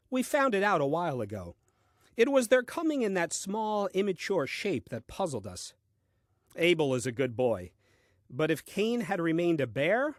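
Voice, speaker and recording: Man playing a bemused first man, animated. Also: a little high, no echo, studio quality. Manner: deliberate, puzzled, expressive. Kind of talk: audio play diary monologue, dry comedy.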